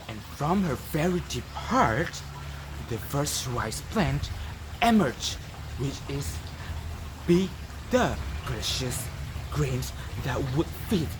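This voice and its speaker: A teenage student from Indonesia with an Indonesian accent tells a folktale dramatically, with deliberate, emphatic pauses. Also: medium pitch, background noise. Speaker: student storyteller. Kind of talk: dramatic oral storytelling for a competition.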